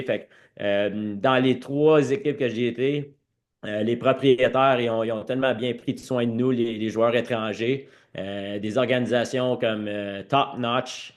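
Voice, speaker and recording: French Canadian man recounting his past. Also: medium pitch. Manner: mixes English words into his French. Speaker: podcast guest, former hockey player. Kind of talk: conversational personal storytelling.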